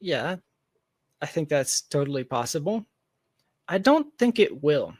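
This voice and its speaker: A man's voice: fairly high pitch, sing-song, unhurried, a little mellow, dry recording, studio quality. A man speaking as an interview guest in a casual, speculative discussion, reasoning aloud with hedges and long asides. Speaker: podcast guest, tech founder.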